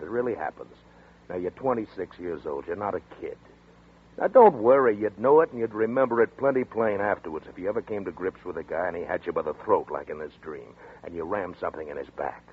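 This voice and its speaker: An actor playing a blunt, skeptical police detective in 1940s radio drama style, heard over a tinny old broadcast. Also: male voice, fairly low pitch. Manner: brisk, gruff, no-nonsense.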